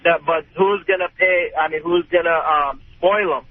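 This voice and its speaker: A man's voice: a little high, steady tone, normal speed. A man calling in to a radio show, chatting hesitantly with stammers and unsure questions. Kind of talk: hesitant casual phone chat. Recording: tinny, noisy phone line.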